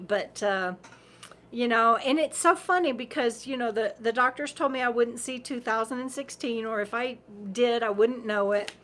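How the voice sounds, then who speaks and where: High-voiced chatty woman host. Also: fairly bright tone. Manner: rambling, chatty, laughs often, repeats words. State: losing her voice. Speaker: livestream host. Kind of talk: casual livestream chat reading viewer comments.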